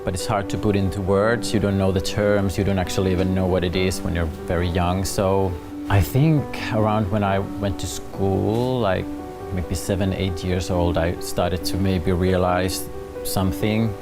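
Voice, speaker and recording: A man's voice: low voice, level tone, moderate pace, hardly any pauses. A man in his thirties with a Finnish accent, answering casually and expressively as an interview guest. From Finland. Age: 30s. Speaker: talk show guest. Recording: guitar music under the talk.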